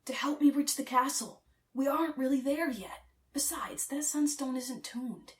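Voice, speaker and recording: A woman's voice: sits high, normal speed, recorded from a distance, voice pushed up, close to an everyday voice. A woman voicing a stubborn, pleading boy adventurer in an audiobook, breathless as he bargains. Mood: defensive, insistent.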